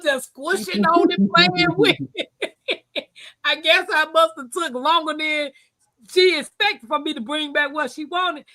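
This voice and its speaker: A woman's voice: high voice, bright, forceful tone. A woman, chatting casually and telling stories as a co-host on a group live stream. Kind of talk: casual group chat, storytelling.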